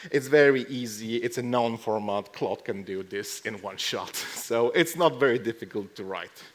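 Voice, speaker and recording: A man gives an informal technical talk to a live conference audience. His delivery is dry and joking, with deadpan asides dropped between plain explanations. His voice sits at a middle pitch for a man. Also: moderate pace. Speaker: conference speaker. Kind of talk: casual lightning tech talk with live demo.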